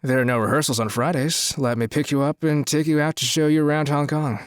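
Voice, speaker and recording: A man's voice: fairly high pitch, fairly even intonation, a little fast, clear, resonant tone, natural voice. Man playing a pushy smug flirty boss guy in an audio drama, bossy, no echo. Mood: smug, insistent. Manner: commanding, flirtatious, insistent. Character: domineering, lustful, smug male crime boss.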